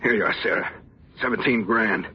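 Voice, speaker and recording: A man's voice: lowish voice, fairly quick, noisy background, lightly acted. Man acting a shaken thief, muffled old radio. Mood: uneasy bravado. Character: shaken male thief, uneasy and needy with his lover.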